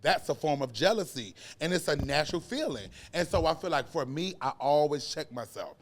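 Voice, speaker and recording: A man in his late twenties, a guest on a podcast, with a voice that sits fairly high for a man. He talks casually but with earnest emphasis, leaning hard on key words as he explains himself. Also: brisk, slight background noise. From United States. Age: 20s. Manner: earnest, emphatic, animated storytelling. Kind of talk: casual conversation.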